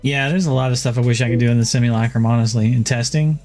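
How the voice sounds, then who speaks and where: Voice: mid-range voice, fairly even intonation, quick pace, darkish tone, faint music behind. A game streamer chatting casually about a build, laid-back and often laughing. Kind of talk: casual gameplay chat.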